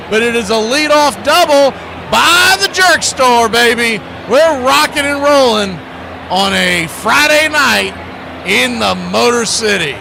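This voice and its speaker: Male baseball play-by-play host, high-pitched, folksy, chatty between excited calls, heavy background noise. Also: vocal fry. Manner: folksy, shifts from conversational asides to excited calls. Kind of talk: live radio-style sports commentary with casual chat. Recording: loud steady background noise.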